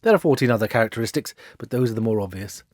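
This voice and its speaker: A man's voice: mid-range voice, animated, racing, darkish tone, no room sound, lightly acted. Male reader as suave storybook detective, shrewd and precise, theatrical swoops, very dry sound. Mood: lecturing.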